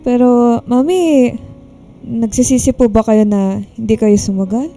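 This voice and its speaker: Meek, high-voiced Filipino daughter. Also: female voice, unhurried, mellow, soft background music. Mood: tentative. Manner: hesitant, polite, meek. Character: timid, deferential young adult daughter, hesitant and evasive. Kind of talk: radio drama acting.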